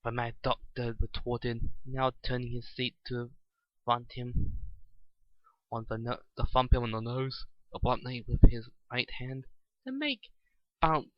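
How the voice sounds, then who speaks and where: A man's voice: medium pitch, expressive, measured pace. A man narrating a story as an amateur reader, often stumbling and repeating his phrases. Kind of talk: dramatic reading of fan fiction. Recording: echoey, slightly muffled home recording.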